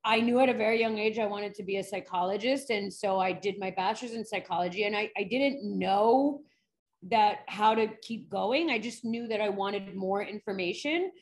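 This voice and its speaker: Brief: woman in her thirties, guest psychologist, animated and candid, quick laughs. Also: medium pitch. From New York. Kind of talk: casual interview chat.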